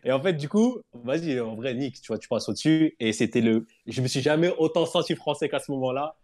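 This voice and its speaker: A man tells a personal story as a guest on a casual show. He talks quickly at an ordinary pitch, relaxed and rambling with fillers.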